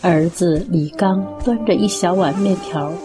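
A woman narrating a scripted radio drama in a measured storytelling manner. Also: fairly low pitch. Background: flute music.

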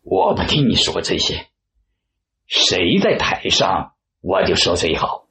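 A man's voice: low voice, sing-song, takes it slowly, vocal fry, sharp tone, slight background noise, exaggerated voice. An actor playing a hostile, smug bald man who informs on others in a radio drama, sneering and taunting. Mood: defiant. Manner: belligerent, taunting.

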